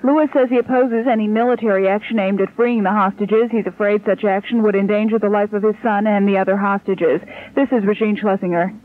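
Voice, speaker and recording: A woman's voice: medium pitch, steady tone, a little fast, few pauses. An American woman reading a scripted news report as a radio reporter. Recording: narrow, tinny 1970s radio sound.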